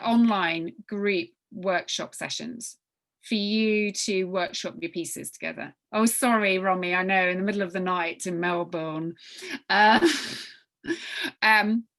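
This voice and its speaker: Woman leading an informal, halting group briefing. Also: mid-range voice, a bit bright. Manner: halting, repeats and restarts phrases emphatically. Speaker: writing workshop leader. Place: online group video call.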